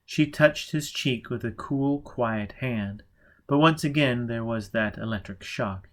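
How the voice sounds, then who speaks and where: A man's voice: medium pitch, unhurried. A man narrating a novel as an amateur audiobook reader, reading steadily and expressively, with occasional slips and self-corrections.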